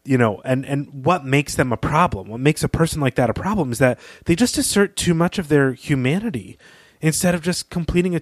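A man's voice: medium pitch, no room sound. A man co-hosting a podcast, talking through literature in an articulate, analytical and emphatic conversational way. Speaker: podcast co-host.

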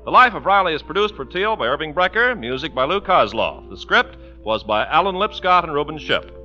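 A male 1940s radio announcer with an American accent delivers polished, emphatic copy. His voice is very sharp and somewhat high, moving energetically up and down. The sound is dull, like an old broadcast. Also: sing-song, fairly quick, faint music behind.

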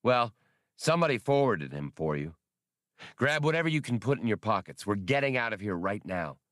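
A man's voice: lowish voice, big pitch swings, normal speed, fairly bright tone, dry recording, clean studio sound. A man voicing a brash, wary male space smuggler in an audiobook, talking in a clipped, sardonic, bossy way. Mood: urgent, commanding. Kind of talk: audiobook character dialogue.

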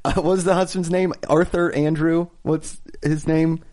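A man's voice: on the high side, flat delivery, moderate pace, darkish tone. Chatty man hosting a podcast. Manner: chatty, laughing often. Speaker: podcast host. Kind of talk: casual chat.

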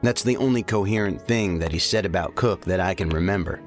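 An actor playing a blunt, stubborn special agent in an audio drama. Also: male voice, low-pitched, fairly quick, natural voice. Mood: pensive, puzzled. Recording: faint music behind the voice.

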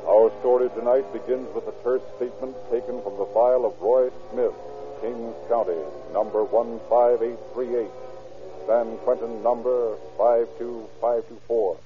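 A man's voice: mid-range voice, fairly even intonation, normal speed, music in the background. A man announcing and narrating a 1930s radio program in a formal, declamatory, scripted manner. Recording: tinny, band-limited 1930s radio recording.

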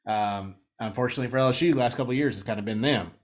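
A man's voice: medium pitch, rapid-fire. A man co-hosting a sports podcast, talking in a loose, rambling way with many restarts. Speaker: podcast co-host.

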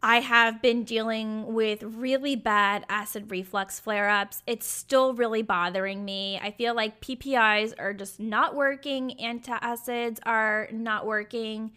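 A young woman hosting a solo podcast, talking candidly and chattily with a raspy, sometimes croaky, hoarse voice. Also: fairly high pitch, fairly even intonation, a little slow, hardly any pauses, sharp tone. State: hoarse from acid reflux. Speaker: podcast host.

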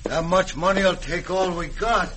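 A man's voice: high voice, a little slow, fairly bright tone. An actor playing a dim, threatening henchman in a radio western, with a blunt, folksy American way of talking. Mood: obedient. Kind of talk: 1950s radio western acting. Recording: noisy old radio show recording.

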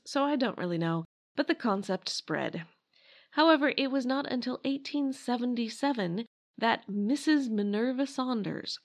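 A woman hosting a podcast, reading scripted history narration in a wry, emphatic way. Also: on the high side. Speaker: podcast host.

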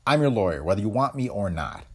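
A reluctant young lawyer, blunt and dry, voiced by a man pitching up from his low natural tone. His speech rises and falls a good deal and carries a husky grain. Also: sing-song, fast.

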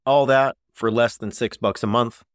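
A man's voice: medium pitch, steady tone, normal speed, dry recording, studio quality. A middle-aged man hosting a podcast, chatting in a friendly, joking way with hesitant fillers. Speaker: podcast host. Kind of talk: casual podcast chat.

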